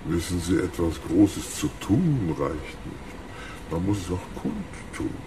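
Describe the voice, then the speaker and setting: Creaky, slow, ancient villain. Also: male voice, low-pitched, expressive, background noise, exaggerated voice. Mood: smug. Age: very old. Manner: smug, slow, savoring his words. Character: tiny ancient billionaire villain, gleefully cruel, old man. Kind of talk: radio crime drama acting.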